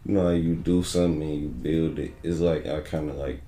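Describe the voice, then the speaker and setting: Young rapper guy, really deep mellow voice, laid back slangy talk, echoey room. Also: steady tone, brisk. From Detroit, USA. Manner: laid-back, loose slang with frequent "you know what I'm saying". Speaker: rapper guest. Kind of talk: casual interview chat.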